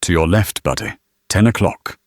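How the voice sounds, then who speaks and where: A man's voice: low voice, normal speed. A man voicing a brash, protective human engineer hero in a sci-fi audiobook. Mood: urgent. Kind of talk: sci-fi audiobook character acting.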